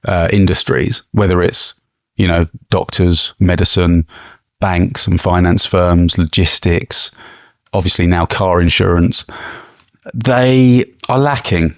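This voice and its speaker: Gravelly low-voiced man telling stories. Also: slow, no echo, clean studio sound. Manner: animated anecdote-telling, laughs often, many restarts. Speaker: podcast co-host. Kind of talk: casual chat, storytelling.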